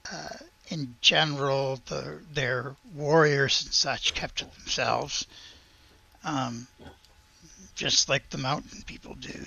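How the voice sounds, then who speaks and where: A man playing a reserved villager in a tabletop game, speaking haltingly with measured, formal wording. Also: medium pitch, vocal fry, bright, forceful tone, no echo, dull audio, full character voice.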